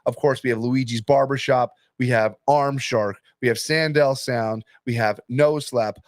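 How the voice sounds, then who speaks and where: A young man hosting a casual podcast, hyping up matches and sponsors with excitable energy. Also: mid-range voice, unhurried, no echo, studio quality. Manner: hyped, excitable, promotional. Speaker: podcast host and arm wrestling promoter.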